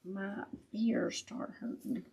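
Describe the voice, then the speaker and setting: A woman with a raspy voice, chatting casually with viewers as she hosts a live craft tutorial. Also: medium pitch, fairly even intonation, takes it slowly, warm tone. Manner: chatty, laughs often, talks to viewers as y'all.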